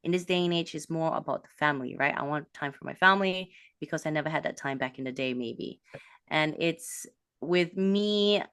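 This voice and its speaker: A woman from a Malaysian background, a business coach, talking in an animated way with quick bursts and restarts. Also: low-pitched, clean tone, dry recording, studio quality. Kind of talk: casual interview talk.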